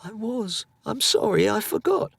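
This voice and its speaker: Storybook boy, young, poor and dreamy, high-pitched, earnest and folksy, played by a male narrator. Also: very animated, a little slow, vocal fry, fairly bright tone, husky, dry recording, exaggerated voice. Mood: apologetic. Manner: playful, earnest, folksy make-believe talk. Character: eager, imaginative poor young boy playing at outlaws.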